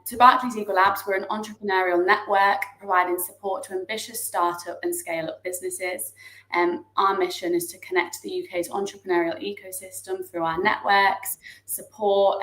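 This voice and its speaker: A woman's voice: lowish voice, fairly even intonation, normal speed, fairly bright tone. A woman hosting an online webinar over video call, introducing the guest and politely reading out a disclaimer. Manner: polite, reads out a formal disclaimer. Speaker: webinar host. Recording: echoey room audio.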